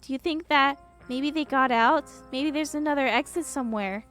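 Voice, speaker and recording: Woman as remorseful teen game character: high voice, pleading, self-blaming, music behind. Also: moderate pace, fairly bright tone. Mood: distraught, guilty. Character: remorseful, distraught teenage girl who survived a collapse.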